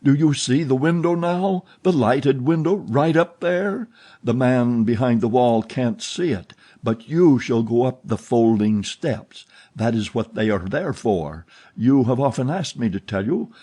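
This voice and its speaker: Furious jealous phantom of a man, menacing, loud and forceful, read by a man, mellow husky voice. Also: mid-range voice, big pitch swings, slow, heavily acted.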